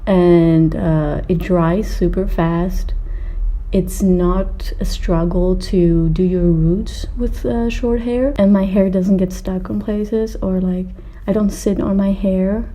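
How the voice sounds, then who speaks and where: Woman, 20s, video creator. Very soft-edged, dark tone, informal rambling Q&A delivery, a little hesitant. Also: on the low side, fairly even intonation, slow pace, rough recording. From Austria and Greece. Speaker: video creator answering viewer questions. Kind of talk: casual chat to camera.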